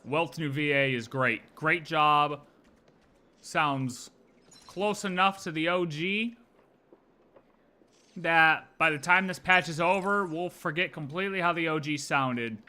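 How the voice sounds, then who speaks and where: A man's voice: fairly high pitch, takes it slowly, pushed and bright, clean tone. A young man casually reacting to a game on a live stream, rambling through his theories.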